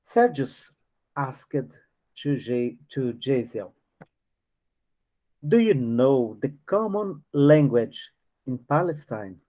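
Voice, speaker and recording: A man leading a book study class. He reads passages aloud and explains them, halting often and restarting as he searches for words. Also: mid-range voice, big pitch swings, dark tone. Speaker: study group leader. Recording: dull lo-fi recording.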